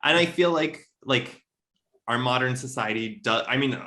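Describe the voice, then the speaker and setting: A man's voice: medium pitch, moderate pace, pushed and bright. A man speaking as a literary podcast guest in casual discussion, thoughtful and self-correcting, often checking his points with a tag question.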